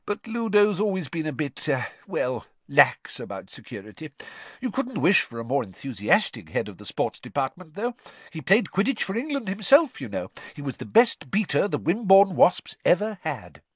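A cheerful middle-aged wizard father, chirpy and high, who trips over his words when he gets flustered, as a man puts him on for a storybook. His voice leaps about in pitch. Dry and close, with no room around it. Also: huge pitch swings, moderate pace, slightly rough, no room sound, full character voice. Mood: fond chatty. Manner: bright, flustered, stammering.

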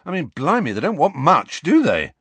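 A man's voice: a little high, wildly swooping pitch, moderate pace, fairly bright tone, breathy edge, no room sound, voice pushed up, full character voice. A man voicing a blunt, wry teenage schoolboy in a storybook, grumbling in disbelief. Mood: wry dismay.

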